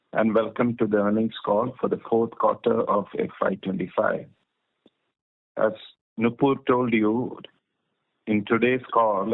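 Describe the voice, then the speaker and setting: Gravelly Indian businessman on a phone line. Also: on the low side. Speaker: company managing director. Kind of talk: formal prepared business remarks. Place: conference phone call. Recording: thin, tinny phone line.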